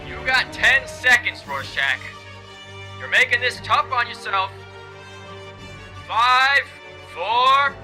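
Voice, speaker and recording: A man playing a commanding police officer in a comic drama, calling out demands in a deliberate, authoritative way. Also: very high voice, lively intonation, pushed and bright, faint music behind, exaggerated voice. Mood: stern. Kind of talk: dramatized comic adaptation acting.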